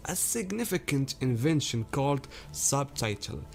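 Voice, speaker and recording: A man's voice: medium pitch, big pitch swings, measured pace, vocal fry, a little mellow. A man with foreign-accented English, hosting his own channel with scripted video commentary and speaking directly to his viewers. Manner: explanatory, addresses viewers directly. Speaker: anime theory YouTuber.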